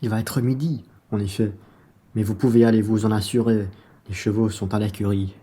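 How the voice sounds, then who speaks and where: Man acting a husky, mellow bourgeois traveller. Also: lowish voice, steady tone, normal speed. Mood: wry, grumbling. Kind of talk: amateur French radio play acting.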